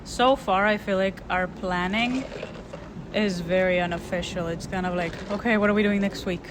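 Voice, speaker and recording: Young woman co-host, agreeable, plainspoken, mid pitch, noisy background. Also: steady tone, moderate pace. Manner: agreeable, backchannels a lot, then states points plainly. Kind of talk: casual chat. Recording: noisy recording.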